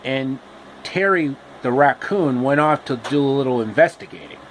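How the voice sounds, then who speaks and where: A man telling a story casually and off the cuff, in a halting way with pauses and repeats. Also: mid-range voice, animated, slight background noise, poor audio.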